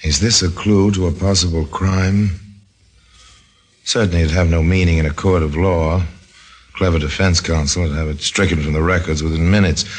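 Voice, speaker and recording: A man narrating a scripted mystery radio drama in a deliberate, dramatic storytelling manner. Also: deep voice.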